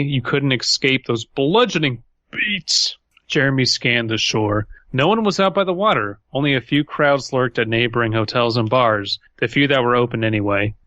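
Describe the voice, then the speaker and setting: A man's voice: medium pitch, fairly bright tone, no echo. A man co-hosting a podcast, emphatic and joking with some swearing, bantering casually and reading aloud. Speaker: podcast co-host. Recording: dry but slightly dull, lo-fi audio.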